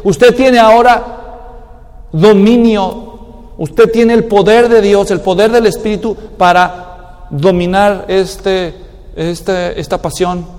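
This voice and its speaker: A man's voice: high voice, creaky, a little mellow. A man preaching a sermon in an emphatic manner, now and then mimicking people's excuses. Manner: emphatic, acts out excuses in a mocking tone. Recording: long hall reverb.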